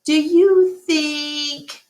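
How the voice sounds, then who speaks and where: A woman's voice: high-pitched, steady tone, drawn-out words, darkish tone, pitched above the performer's own voice. A woman voicing a curious, sleepy baby elephant girl who pleads with questions in a children's storybook. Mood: worried, curious. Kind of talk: children's storybook read-aloud.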